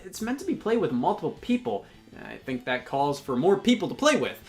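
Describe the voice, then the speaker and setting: A man's voice: on the high side, sing-song, a little fast. A man delivering scripted comedic sketch commentary in an exasperated, sarcastic way. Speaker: comedy video host.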